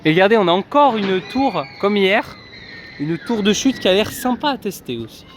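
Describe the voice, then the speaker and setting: A man's voice: high voice, animated, moderate pace, a little hiss or hum. A man casually vlogging from a busy theme park, chatting to his viewers with lots of fillers. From France. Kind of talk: casual vlog commentary.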